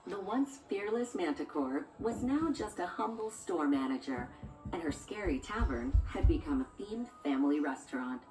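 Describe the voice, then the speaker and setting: Low-voiced woman narrating a children's story, roomy. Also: animated, normal speed. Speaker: read-along story narrator. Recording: roomy, distant sound with music underneath. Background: music.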